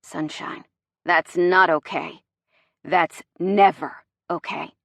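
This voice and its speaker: A woman voicing a bossy but warm and protective young boyfriend, soft-spoken and wry with an intense edge. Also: a little high, expressive, normal speed, vocal fry, dry recording, clean studio sound. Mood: strained. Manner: soft-spoken, wry, growling when strained.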